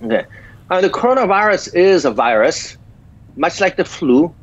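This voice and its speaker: A man in his fifties from South Korea with a small Korean accent, speaking in halting sentences full of filler pauses as a guest doctor in a remote interview. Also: sits high, big pitch swings. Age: 50s. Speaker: guest physician. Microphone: video call. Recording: dull lo-fi video call audio.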